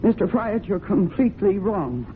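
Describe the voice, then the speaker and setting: A tinny, slightly noisy 1970s radio drama recording carries an actress as a young woman hiding guilt. Her delivery swings widely in pitch, guarded and defensive, with anxious stammers. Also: very animated, moderate pace, natural voice. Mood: defensive, afraid. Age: young adult. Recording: tinny band-limited old radio recording, light noise.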